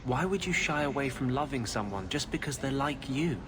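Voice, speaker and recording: A man playing a brooding, idealistic rebel mage in a video game, speaking earnestly and intensely with halting pauses. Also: mid-range voice, lively intonation, natural voice. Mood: wistful, confiding. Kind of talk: dramatic video game dialogue. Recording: clearly noisy game audio background.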